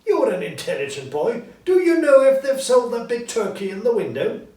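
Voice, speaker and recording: A man voicing a joyful, excitable old miser newly reformed, in an exclamatory storybook reading. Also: extremely high pitch, normal speed, pitched above the performer's own voice. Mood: excited, delighted. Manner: exclamatory, gleeful. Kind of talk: audiobook character acting. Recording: roomy echo, distant mic, faint noise.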